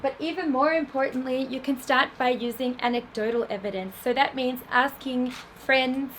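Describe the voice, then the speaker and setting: A woman with an Australian accent giving an explanatory festival talk to an audience, pausing often with ums. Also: high voice, fairly bright tone, slight background noise, poor audio. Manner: explanatory, with frequent ums and restarts. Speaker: film festival industry program head. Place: festival venue before an audience.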